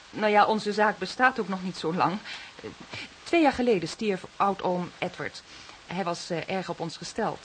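An actress with a Dutch accent playing an anxious young woman in a radio play, speaking haltingly and repeating her words. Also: mid-range voice, a little hiss or hum, close to an everyday voice, low-quality recording. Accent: Dutch, from the Netherlands. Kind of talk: radio drama acting.

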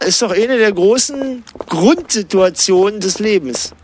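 A man's voice: high voice, animated, a little slow, darkish tone. A man playing a quirky, restless dreamer in a radio play, insistent and rambling as he philosophizes, on a noisy recording. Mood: insistent, musing. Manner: insistent, rambling, repeats himself. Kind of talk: loose improvised-sounding radio play dialogue.

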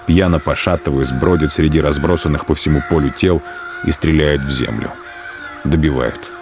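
A man narrating a radio drama in a measured, grave manner. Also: extremely low pitch. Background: music score.

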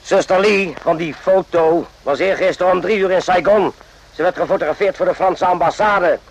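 A man's voice: sits high, moderate pace, gravelly, muffled recording. A man acting in a radio drama as an irritable, bullying army sergeant who curtly barks questions. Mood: sarcastic, probing. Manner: curt, impatient, barking questions.

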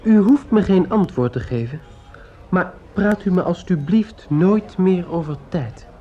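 An actor playing an earnest, bitter young student in a Dutch radio drama, speaking formally and pointedly. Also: male voice, high-pitched, expressive, measured pace, warm tone. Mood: bitter, probing. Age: young adult. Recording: dull, muffled old radio recording.

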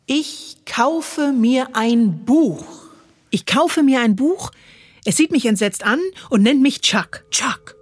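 A woman performing a literary poetry recitation in a measured, deliberate way with dramatic pauses. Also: high-pitched, animated, husky, no room sound, clean studio sound. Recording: music behind the voice. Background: music.

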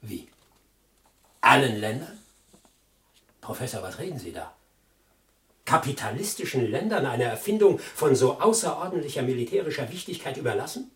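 An actor playing a zealous Soviet squadron commander in a German radio drama, emphatic and politely insinuating. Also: male voice, medium pitch, sing-song, moderate pace, pauses often, fairly bright tone, recorded from a distance. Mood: suspicious, menacing. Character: suave, menacing Soviet fighter squadron commander, zealous loyalist.

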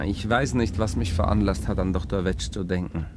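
An actor playing a sceptical journalist in a 1960s radio play, reasoning things out in a dry, musing way. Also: male voice, low-pitched, fairly quick, lightly acted. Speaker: journalist character. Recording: faint hiss, old tape.

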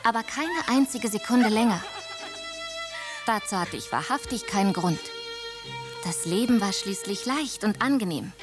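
A woman voicing a young princess looking back on her own story, wry and reflective, in a radio play. Her voice sits in a medium range, with violin music clearly behind. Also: medium pitch, animated, a little slow, pauses often, natural voice. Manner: wry, reflective first-person narration. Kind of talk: radio drama narration.

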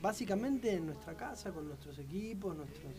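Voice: mid-range voice, expressive, moderate pace, over music. A band member speaking thoughtfully in an interview, explaining how the band works. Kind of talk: casual interview answers.

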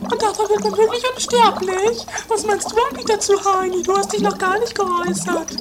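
A very high, commanding woman's voice, theatrical and quarrelsome, as an overdramatic castle lady in a trashy radio comedy. The amateur eighties recording has clear noise. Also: steady tone, brisk. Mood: puzzled, nosy. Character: Mathilde Newton, bossy, quarrelsome, overdramatic castle-dwelling lady. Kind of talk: improvised comedy horror radio play. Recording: noisy amateur 1980s radio-play recording.

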